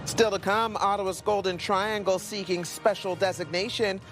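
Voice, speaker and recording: Higher-voiced male news anchor. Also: fairly even intonation, normal speed, music in the background. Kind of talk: scripted news presenting.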